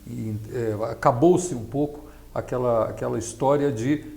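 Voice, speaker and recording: Gravelly middle-aged man explaining. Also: mid-range voice, steady tone, mellow. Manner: halting, didactic, frequent tag questions. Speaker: interview guest, accountant and nonprofit consultant. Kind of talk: explanatory interview talk.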